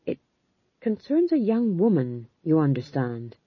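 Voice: mid-range voice, wildly swooping pitch, a little slow, slightly rough, dry recording, narrow, tinny audio, heavily acted. A narrator voicing a mild, fussy male king with a polite, hesitant manner that tips into exasperation.

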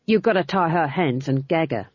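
A woman voicing Huron, a surly, nervous male guard who talks in a gruff, hurried way. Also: fairly high pitch, lively intonation, no room sound, highs cut off.

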